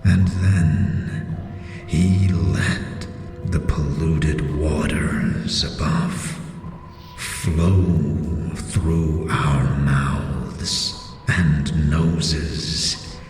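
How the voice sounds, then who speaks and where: Cavernous, very deep, cursed stone spirit. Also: male voice, steady tone, exaggerated voice. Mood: sorrowful, weary. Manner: slow, halting, grave delivery with long pauses. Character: cursed stone-faced spirit, solemn, sorrowful former community leader. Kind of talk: audio drama acting. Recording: cavernous long reverb, music behind. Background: music.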